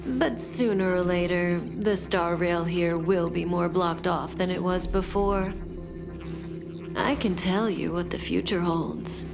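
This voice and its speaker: An actress playing a cool, mysterious, teasing wanted criminal in a video game, unhurried and suave. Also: female voice, fairly low pitch, fairly even intonation, vocal fry. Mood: composed, persuasive. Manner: unhurried, coolly amused, suave. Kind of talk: English video game voice acting. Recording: background music under the voice.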